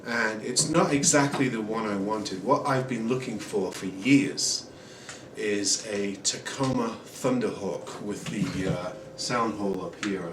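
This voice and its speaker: A man in his forties giving a relaxed home guitar review, rambling with many ums and uhs. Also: lowish voice, unhurried. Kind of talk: casual product review. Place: small room at home, indoors. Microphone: camera mic at a distance. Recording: roomy, distant camera audio with noise. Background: acoustic guitar playing.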